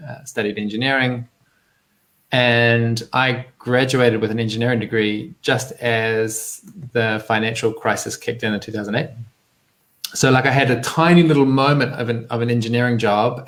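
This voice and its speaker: A man in his thirties with a New Zealand accent, telling his own story as an interview guest in a reflective, searching way. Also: mid-range voice. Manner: reflective, hesitant, searching for words. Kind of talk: conversational personal storytelling.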